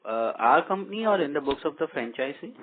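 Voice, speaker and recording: A man's voice: fairly high pitch, animated, fairly quick, a little hiss or hum. A male analyst, politely asking questions on an earnings call and calling the speakers sir. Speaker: analyst caller. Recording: tinny phone line.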